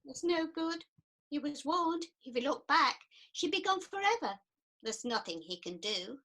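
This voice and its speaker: A woman's voice: sits high, lively intonation, normal speed, bright, forceful tone, exaggerated voice. A woman playing an earnest, prim and easily offended counsellor in a radio comedy set in ancient Greece. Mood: sorrowful. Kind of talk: radio play acting.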